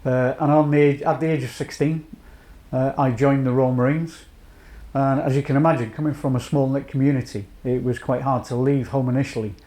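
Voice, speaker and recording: A man from North Wales in his late fifties, a charity chief executive giving a measured, reflective personal account with many pauses. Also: medium pitch, fairly even intonation, dark tone. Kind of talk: personal testimony to camera.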